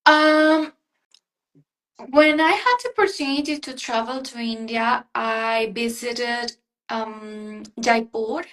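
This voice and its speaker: Warm, earnest Ecuadorian woman, slightly high voice. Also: slow pace, poor audio. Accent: Spanish-speaking Ecuadorian. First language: Spanish. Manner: earnest and effusive, searching for words in English. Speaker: pageant guest. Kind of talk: interview conversation.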